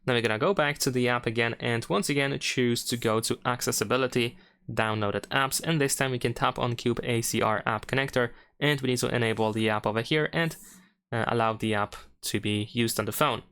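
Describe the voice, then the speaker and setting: A man's voice: medium pitch, steady tone, fairly quick, fairly bright tone, clear, resonant tone. A man narrating an unscripted how-to tutorial as its host, explaining things step by step.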